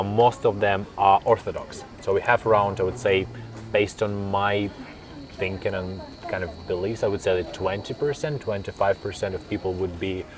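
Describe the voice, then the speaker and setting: A young man from Kazakhstan whose first language is Russian, answering interview questions thoughtfully with many fillers and restarts. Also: lowish voice. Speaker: interview guest, linguist.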